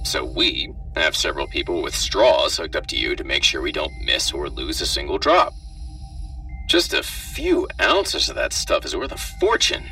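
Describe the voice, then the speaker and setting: A man's voice: a bass voice, very animated, normal speed, vocal fry, pushed and bright, recorded from a distance, faint music behind, exaggerated voice. A man voicing a callous, flippant government doctor in a hazmat suit, with a robotic, matter-of-fact delivery in a horror story. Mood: smug. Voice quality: robotic, muffled through a suit. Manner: matter-of-fact, darkly joking.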